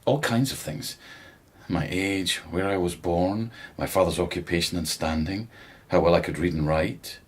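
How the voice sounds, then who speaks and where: An actor playing a courteous, plain-spoken palace policeman of humble birth in a radio drama, husky and respectful. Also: deep voice, moderate pace. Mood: puzzled.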